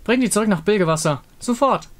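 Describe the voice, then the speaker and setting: A man's voice: high voice, lively intonation, quick pace, a bit bright, voice pushed up. A man voicing a stern, impatient female warrior who speaks with exasperated force in a game story.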